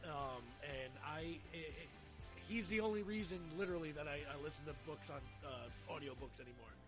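A woman's voice: low-pitched, fairly quick, over music. A woman hosting a casual podcast chat, talking informally and emphatically with plenty of swearing. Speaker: podcast host.

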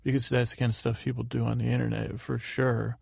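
Man, very creaky fry-laden voice, even pitch, halting rambling podcast monologue, close dry recording. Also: dry recording. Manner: rambling, halting, often correcting himself. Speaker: podcast host.

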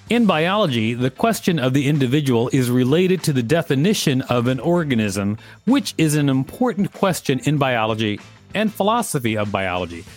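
A man co-hosts a reading podcast, his mid-range voice rising and falling freely as he works through the article. He slips in playful little asides between passages. Faint music sits quietly beneath him. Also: mid-range voice. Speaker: podcast co-host. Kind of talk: reading an article aloud with banter.

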